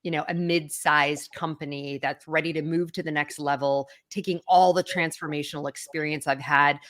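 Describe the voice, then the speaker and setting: A woman's voice: low voice, fairly even intonation, normal speed, fairly bright tone. A middle-aged woman executive speaking as an interview guest, candid and self-assured, with frequent fillers. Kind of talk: conversational interview.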